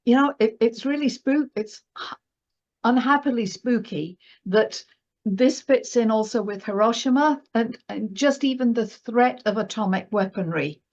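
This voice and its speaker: High-voiced woman on a dull recording. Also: unhurried, darkish tone. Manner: emphatic, checks in with "right?" and "you know". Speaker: guest astrologer and market analyst. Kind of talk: conversational interview discussion of financial astrology. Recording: dull, lo-fi audio.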